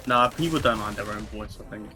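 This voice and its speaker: A young man talking easily over a voice call. His delivery is relaxed and rambling, with plenty of "like". Game music plays clearly in the background. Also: on the low side, fairly quick. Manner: laid-back, rambling, fills with "like". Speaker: friend on voice chat. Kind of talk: casual chat.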